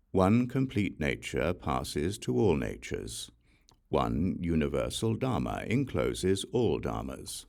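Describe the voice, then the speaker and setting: A man with a very deep voice and a gravelly edge reads sacred verse as an audiobook. His phrasing is deliberate and measured, with pauses between phrases, and his pitch rises and falls expressively. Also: darkish tone. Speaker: audiobook narrator.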